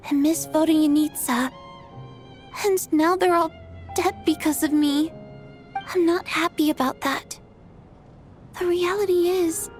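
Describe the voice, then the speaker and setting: Gentle game ghost girl, high and soft, resigned, faint music. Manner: soft, calm, polite. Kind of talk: video game voice acting.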